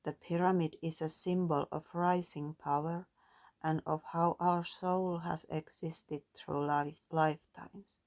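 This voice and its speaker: A woman doing a calm, musing spiritual card reading, partly reading guidebook text aloud. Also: sits low, unhurried. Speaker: tarot and oracle card reader.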